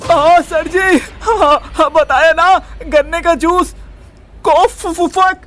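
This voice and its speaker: A man acts out a timid young man in an audio drama. His voice is high for a man, nervous and pleading, stumbling over words as a poor liar would, with music behind. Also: fairly even intonation, slow pace, a bit bright, full character voice. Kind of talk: Hindi audio drama acting.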